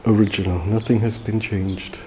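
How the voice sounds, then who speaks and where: A man's voice: fairly low pitch, level tone, noisy background. A German man guiding viewers through an old church, hesitating with frequent ums. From Germany (Bamberg, Franconia). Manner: hesitant, many ums and pauses. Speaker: travel vlogger. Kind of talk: casual guided commentary. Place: inside a church.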